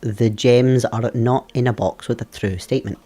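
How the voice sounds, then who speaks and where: A man's voice: medium pitch, steady tone, moderate pace, mellow. Chatty Scottish man streaming a game. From Scotland. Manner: chatty, laughs often, thinks aloud. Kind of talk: live game stream chat.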